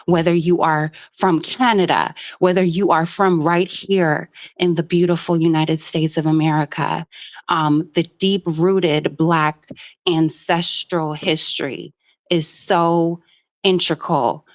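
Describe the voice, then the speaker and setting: A woman from Jamaica phones in to a live stream and speaks at length. She is earnest and rambles, filling her pauses with "um." Her voice sits a little low. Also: fairly even intonation. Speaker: caller. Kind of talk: casual call-in commentary.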